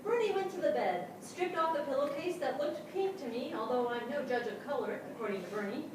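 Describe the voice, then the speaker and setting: A naive, earnest dog narrator voiced by a woman, high and very animated, telling a story with comic timing. It sounds like a theatre stage with heavy echo. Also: fairly quick. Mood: earnest, droll. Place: small theatre stage before an audience. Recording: very echoey theatre, distant, noisy.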